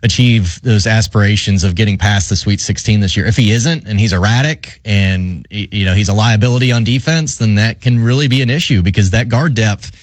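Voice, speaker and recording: A man's voice: lowish voice, fairly quick, few pauses, dry recording. A man co-hosting a sports radio show, breaking down a basketball team in casual talk. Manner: conversational, analytical, hedging with fillers.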